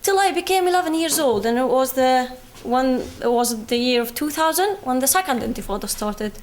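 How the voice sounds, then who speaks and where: A woman's voice: sits high, animated, brisk. A young woman in her twenties from the West Bank, with a Palestinian British accent, giving an earnest personal testimony as a guest speaker at a university talk. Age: 20s. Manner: earnest, pleading with the audience. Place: university lecture hall.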